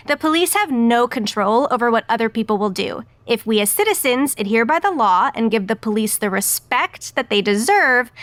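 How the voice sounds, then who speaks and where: A partisan talk show hostess acted by a woman in an audio drama. She is stern and pointed, with a fairly high voice in a close, dry studio sound. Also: fairly bright tone, no echo. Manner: assertive, pointed, leading.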